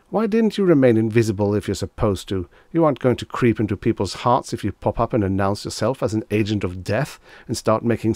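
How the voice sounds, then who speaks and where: A man's voice: fairly low pitch, a little mellow, no echo, pitched above the performer's own voice. A man voicing a brash, sarcastic, easily rattled man in a dramatised story reading, with blustering, exclamatory delivery.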